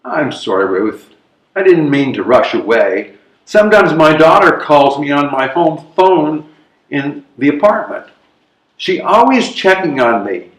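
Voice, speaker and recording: A man playing an amiable, evasive older gentleman in a readers theatre comedy, with expressive, drawn-out delivery. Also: fairly high pitch. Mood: evasive, placating. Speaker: amateur readers theatre performer. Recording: roomy, echoing live stage recording.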